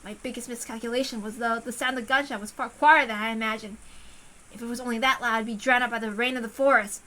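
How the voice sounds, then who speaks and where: A woman narrates a story in the first person with a dramatic delivery. Her voice sits fairly high and has a very bright, crisp edge. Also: fairly even intonation, fast. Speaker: visual novel reader.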